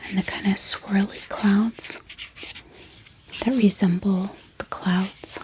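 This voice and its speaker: A woman speaking in soft, gentle ASMR style, showing items and softly repeating words. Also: medium pitch, steady tone, slow pace, rough recording. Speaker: ASMR book haul host. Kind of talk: soft-spoken whispered ASMR show-and-tell.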